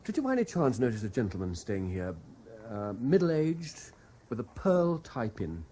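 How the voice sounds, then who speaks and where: An actor in a radio drama playing a haunted, obsessive French man, anxious and intense, with a hesitant, halting delivery. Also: medium pitch, huge pitch swings, mellow, a little hiss or hum. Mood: nervous, probing. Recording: 1970s radio drama broadcast.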